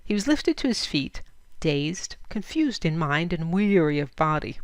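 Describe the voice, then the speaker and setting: A woman reading a short story aloud as expressive, scripted narration. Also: deep voice, a little slow, no room sound. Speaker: story reader.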